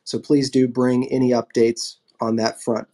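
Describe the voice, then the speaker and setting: A man hosting a casual online discussion panel, wrapping up and thanking speakers in a relaxed, rambling way. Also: mid-range voice, measured pace. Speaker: host.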